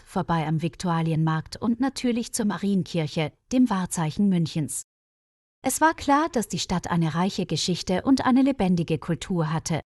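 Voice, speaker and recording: A woman reading a scripted story for language learners in a measured, careful read-aloud manner. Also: fairly low pitch, darkish tone, no echo, clean studio sound. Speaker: narrator of German learner stories.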